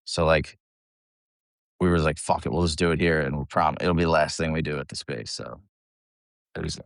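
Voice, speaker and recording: A man's voice: very deep voice, normal speed, gravelly, dry recording, clean studio sound. A man from the United States chatting casually as an interview guest, rambling and informal, with salty language. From United States, Philadelphia area. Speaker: metal band vocalist, interview guest. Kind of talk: casual chat.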